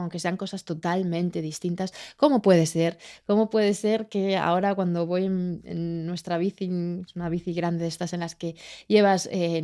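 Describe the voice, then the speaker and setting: A woman raised in Spain with a Spanish accent hosts her podcast alone. Her voice sits fairly low and mellow, and she thinks aloud, pausing and restarting as she searches for the right words. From Chile. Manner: searching, hesitant, many fillers and restarts. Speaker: podcast host. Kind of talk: reflective solo podcast monologue.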